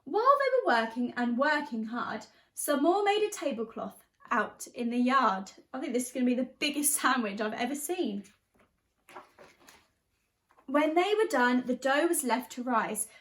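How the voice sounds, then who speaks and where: High-voiced woman, expressive children's teacher. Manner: expressive, chatty, encouraging. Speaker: teacher reading to young children. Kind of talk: animated storybook read-aloud and guided exercise.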